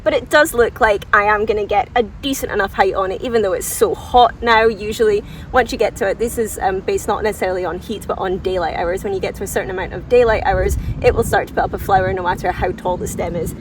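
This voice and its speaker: Female garden vlogger, medium pitch, unscripted and keen, informal and self-deprecating, recorded outside with faint ambient noise. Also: fast, hardly any pauses. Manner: enthusiastic, informal, self-deprecating, thinks aloud. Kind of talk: casual, unscripted chat to viewers. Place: outdoors in a flower garden. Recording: distant mic, outdoor background noise.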